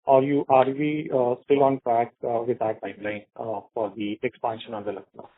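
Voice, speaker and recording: A man of average pitch puts questions as an analyst on an earnings call. His voice comes through a narrow, tinny telephone connection. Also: level tone, moderate pace. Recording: thin narrowband phone line.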